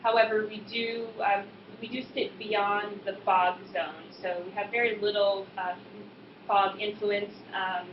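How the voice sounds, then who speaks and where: An American woman gives an informal, explanatory presentation with frequent hesitant pauses. Her voice rings in a reverberant room, and the sound is somewhat dull, with clear noise behind it. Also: medium pitch, flat delivery. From Boston suburbs, US East Coast. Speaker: winemaker presenting at a tasting. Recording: echoey room, muffled, noisy background.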